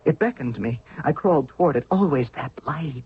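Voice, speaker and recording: A man's voice: mid-range voice, lively intonation, normal speed, breathy edge. A man playing an idealistic, fervent young pharaoh in a 1970s radio drama, speaking in an earnest, declamatory way. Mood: awed, weary. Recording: tinny narrow-band old radio recording.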